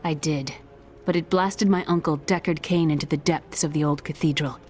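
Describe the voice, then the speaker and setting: A woman's voice: sits low, fairly even intonation, normal speed, darkish tone, close to an everyday voice. An actress playing an earnest young woman who survived an attack, speaking plainly and steadily in a video game. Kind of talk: video game dialogue voice acting.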